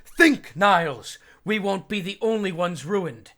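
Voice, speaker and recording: Pompous male psychiatrist, played by a man, middle-aged, forceful and gravelly, grand diction, comedy fandub with music behind. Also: high-pitched, lively intonation, unhurried, full character voice. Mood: urgent, grandiose. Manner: haughty, theatrical, ornate diction. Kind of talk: comic fandub acting.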